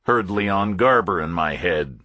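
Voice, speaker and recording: A man's voice: low-pitched, lively intonation, measured pace, pushed and bright, no room sound, clean studio sound. A man narrating a first-person thriller audiobook in short, clipped, terse phrases.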